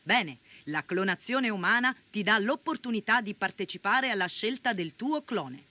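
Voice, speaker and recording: A woman playing a coolly provocative pitchwoman, delivering a scripted mock advertisement in a radio play. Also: expressive, moderate pace, no echo. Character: coolly provocative female pitchwoman for unsettling science. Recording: loud ambient noise behind voice.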